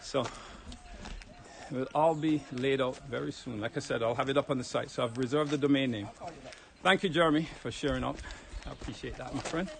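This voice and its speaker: A man's voice: medium pitch, normal speed, creaky, a bit bright, slight background noise. A man with a Jamaican accent chatting casually to viewers on a livestream while walking uphill outdoors, a bit out of breath. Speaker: livestreaming fitness vlogger. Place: outdoors on a hill road. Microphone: phone held while walking.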